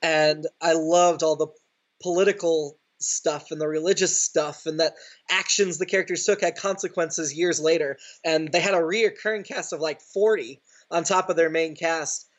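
A man's voice: fairly high pitch, steady tone, moderate pace. A man speaking as a podcast guest, chatting casually and explaining things with earnest enthusiasm. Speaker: podcast guest, audio drama writer. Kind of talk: casual interview chat. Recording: slightly dull remote-call sound.

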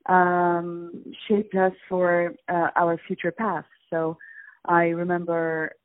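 A woman from Poland, an actress, being interviewed over the phone, answering thoughtfully with many ums and pauses. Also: lowish voice, monotone. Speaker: guest actress. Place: on a phone call. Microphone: telephone. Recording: tinny phone line, dry.

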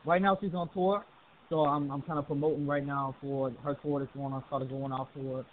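A man from the southern US calling into a talk radio show, relaxed and full of fillers like "uh" and "like". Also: medium pitch, normal speed. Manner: laid-back, many fillers. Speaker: caller. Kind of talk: call-in talk radio chat. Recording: phone-line audio with faint hiss.

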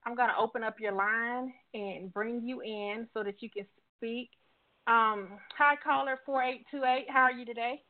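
Woman hosting a call-in show, kinda high voice, tinny phone sound, repeats her questions to make a point. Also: fairly even intonation, normal speed. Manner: emphatic, repeats questions for effect. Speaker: radio show host.

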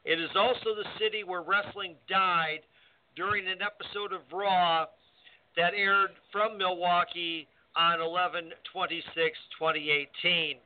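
A man's voice: sits high, steady tone, unhurried. A man co-hosting a wrestling talk show, chatting casually with lots of fillers and restarts. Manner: hesitant, many fillers. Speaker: co-host, wrestling referee. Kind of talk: casual radio chat. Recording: tinny phone-like audio.

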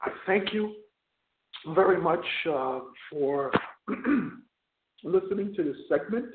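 A man preaching a radio sermon as chaplain and host, hoarse and losing his voice, often stopping to restart or repeat words. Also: sits high, expressive, slow, noisy background. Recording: tinny phone line, echoey.

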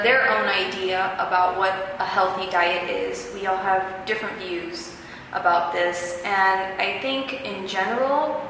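A young woman, born in Peru and raised in the United States, answering interview questions about healthy eating in a relaxed, fluent, explanatory way. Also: mid-range voice. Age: young adult. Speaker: guest, video blogger. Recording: very echoey hall, faint noise.

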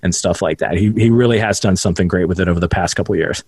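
A man's voice: sits low, very fast, darkish tone, husky, dry recording. A young adult man, a self-taught radio broadcaster, chatting as a guest in a casual interview with jokey, self-deprecating asides. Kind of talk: casual podcast interview chat. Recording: dry close sound.

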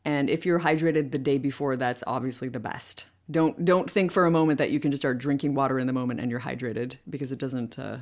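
A woman voice actor telling a story in an interview, animated and loose, with plenty of "like" and "um" fillers. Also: rumbling low, fairly quick, few pauses. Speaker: voice actor guest. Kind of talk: anecdotal interview chat.

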